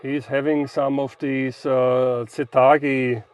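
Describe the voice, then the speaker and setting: A man's voice: mid-range voice, level tone, takes it slowly, a little mellow. A man operating amateur radio, commenting casually between calls and spelling callsigns in phonetic words.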